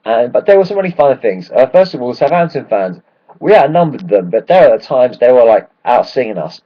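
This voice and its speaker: Hoarse excitable football fan. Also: male voice, medium pitch, brisk, highs cut off. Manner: rambling, excitable, swears freely. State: hoarse from shouting. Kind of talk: casual match rant.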